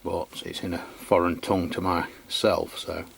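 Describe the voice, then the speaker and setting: A man's voice: sits low, little pitch movement, measured pace. A man giving a casual, unscripted hands-on review of equipment in a matter-of-fact way. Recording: clear background noise.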